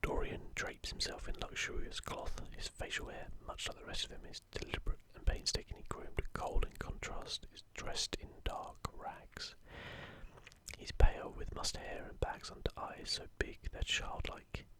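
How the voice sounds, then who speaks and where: A video host speaking in a soft, unvoiced ASMR whisper, unhurried, hushed and informal. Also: rough recording. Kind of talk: whispered ASMR, leafing through and commenting on an art book.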